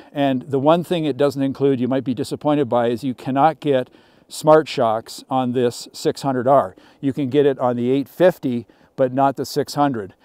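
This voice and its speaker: A middle-aged man presents a snowmobile outdoors among snowy pines, explaining its features in a relaxed, conversational way full of small fillers. His voice is mellow and smooth, and it sounds dry and close, as if from a clip-on mic. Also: medium pitch, no echo. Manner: casual, explanatory, with frequent fillers. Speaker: snowmobile reviewer. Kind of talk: product walkaround presentation. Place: outdoors in snowy pine woods.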